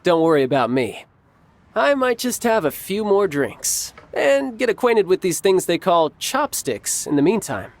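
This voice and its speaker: A man's voice: high voice, very animated, unhurried, a little hiss or hum, heavily acted. A man playing a suave, playful young agent of a shadowy faction in a video game, breezy and teasing. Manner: breezy, teasing, smooth-talking. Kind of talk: scripted video game dialogue.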